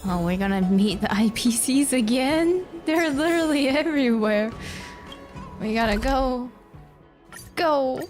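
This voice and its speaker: A woman's voice: high-pitched, animated, unhurried, a little mellow. A woman game streamer giving casual live commentary, musing aloud as she plays. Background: game music.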